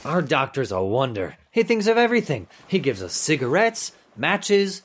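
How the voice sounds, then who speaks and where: An actor playing a giddy, cowardly forger and ladies' man, an escaped convict in a radio horror drama, excitable and taunting. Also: a little high, normal speed, a little hiss or hum. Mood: dreamy, admiring. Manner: excitable, gushing, taunting, prone to cackling. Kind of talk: old-time radio horror drama acting.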